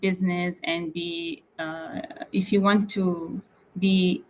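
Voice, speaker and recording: A woman's voice: lowish voice, very animated, slow pace, vocal fry, a little mellow, a little hiss or hum, low-quality recording. A young woman raised in Malaysia, talking about her work as an interior architect in a casual interview over a video call, with many fillers and restarts. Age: young adult.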